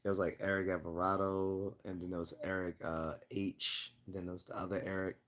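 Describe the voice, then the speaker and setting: A man hosting a casual livestream Q&A, chatting and rambling as he loses his voice and keeps clearing his throat. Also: sits low, little pitch movement, fairly quick, a bit bright. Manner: rambling, chatty, laughs often. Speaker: livestream host.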